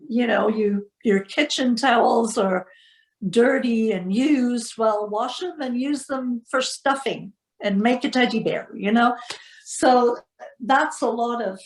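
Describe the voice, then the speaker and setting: A woman's voice: a little high, slow pace. A woman from the northeast of England with a northern English accent, talking reflectively and casually as an artist in an interview, thoughtfully searching for words. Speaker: textile doll artist being interviewed. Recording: roomy, echoing sound.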